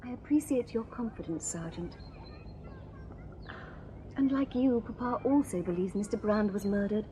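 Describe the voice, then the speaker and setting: A woman's voice: mid-range voice, normal speed, long pauses, dark tone, a little hiss or hum, natural voice. An actress playing a prim, coy young Victorian lady in a radio drama. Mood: polite.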